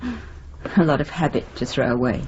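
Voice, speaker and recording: An actress playing an anxious, resigned middle-aged wife in a radio drama, speaking hesitantly with a British accent. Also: female voice, low-pitched, very animated, measured pace, darkish tone, highs cut off, slight background noise. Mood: resigned, sad. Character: anxious, long-suffering middle-aged wife, frightened of loneliness. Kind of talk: naturalistic radio drama acting.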